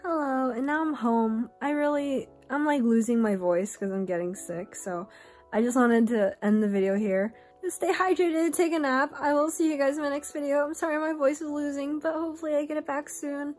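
A young woman vlogging casually from an airport, soft and chatty, laughing now and then, filmed on a phone held at arm's length. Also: high voice, normal speed, faint music behind. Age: young adult. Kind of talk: casual Q and A vlog. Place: airport terminal, indoors. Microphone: phone camera at arm's length.

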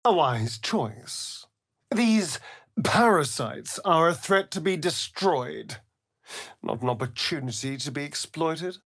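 An actor playing a sardonic, vain vampire rogue in a video game, with an arch, theatrical, drawling delivery. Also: male voice, a little high, lively intonation, slow, fairly bright tone, no echo, clean studio sound, full character voice. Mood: grave, wary. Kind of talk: video game character lines.

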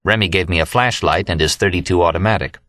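A man's voice: low-pitched, quick pace, fairly bright tone, no echo. A man with an American accent reads a first-person novel as an audiobook narrator.

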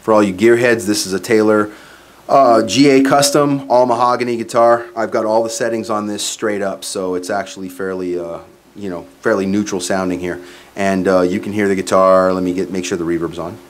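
A man's voice: on the low side, steady tone, brisk. A man in his forties giving a casual, matter-of-fact product demo as a gigging musician. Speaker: musician reviewing live sound gear. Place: small curtained home studio, indoors. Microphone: microphone on boom stand into PA, plus some room sound. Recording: clear background noise, some room sound.

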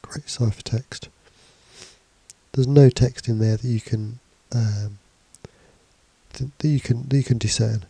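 A man's voice: mid-range voice, steady tone, unhurried, vocal fry, warm tone, slightly rough, no echo. A man with a cold and a bunged-up voice, casually talking through a programming tutorial over slides with fillers and restarts. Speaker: computing teacher. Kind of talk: screencast tutorial. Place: indoors, voice-over on slides and screen recording.

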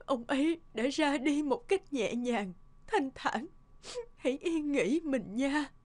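A woman's voice: high-pitched, animated, slow, a little mellow. A woman voicing a grieving, devoted middle-aged mother in a cartoon, speaking with sorrowful tenderness. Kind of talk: cartoon dubbing.

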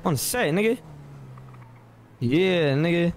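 A man's voice: fairly high pitch, expressive, slow pace. An American man playing an online roleplay game, talking in casual street slang and urgently repeating his commands. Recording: game voice chat with faint vehicle noise.

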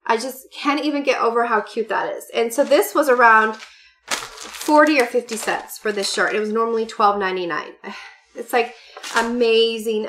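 A woman's voice: a little high, a bit bright, a little hiss or hum. A woman in her late thirties chatting casually to camera about party supplies she bought, gushing and full of fillers, sitting at a desk at home. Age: 30s. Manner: bubbly, gushing, many fillers and "you guys". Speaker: haul vlogger. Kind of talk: casual chatty product haul. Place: home room with party decor, indoors.

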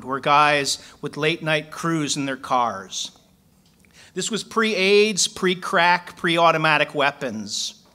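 A man's voice: a little high, pushed and bright. A man in his forties from Philadelphia, a poet reading his work aloud from paper at a stand mic on a small club stage, then telling a story.

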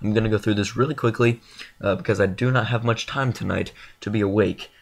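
Young guy all stuffed up, lowish voice, rambles with lots of ums, talking over game clips. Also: steady tone, fairly quick, gravelly. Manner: rambling, filler-heavy, self-deprecating. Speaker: gaming YouTuber. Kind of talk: casual channel update over gameplay.

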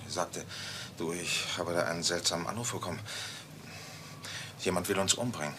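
A man playing a shaken, evasive friend of a murder victim in a radio crime play, halting and repeating himself. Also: low voice, close to an everyday voice. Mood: uneasy. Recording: noisy old radio play recording.

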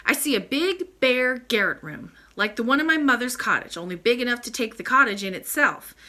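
A woman's voice: a little high, animated, brisk, bright, forceful tone, pitched above the performer's own voice. A woman voicing a stubborn, plain-spoken young boy in a storybook, blunt and sulky in manner.

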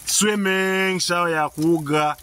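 A man's voice: sits high, fairly even intonation, a little slow, a bit bright. A middle-aged Ugandan man talking casually to viewers as he cooks outdoors, mixing Luganda and English. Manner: mixes Luganda and English, talks to viewers while working. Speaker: homestead cook and channel host. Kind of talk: casual cooking vlog commentary. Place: outdoors at a rural homestead cooking over a wood fire. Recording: outdoor recording with clear background noise. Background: rural outdoor ambience.

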